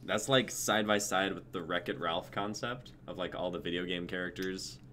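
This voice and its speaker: A young man with a very low, forward, bright voice hosts a casual livestream. He chats loosely with viewers and co-hosts, with faint noise behind him. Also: moderate pace. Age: young adult. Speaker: livestream host. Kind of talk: casual sign-off chat. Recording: background noise, livestream audio.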